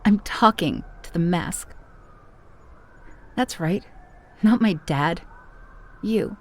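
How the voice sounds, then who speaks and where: An actress playing a determined, self-sacrificing grown daughter in a horror audio drama, speaking with earnest, hushed intensity. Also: female voice, on the low side, slow. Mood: resolute.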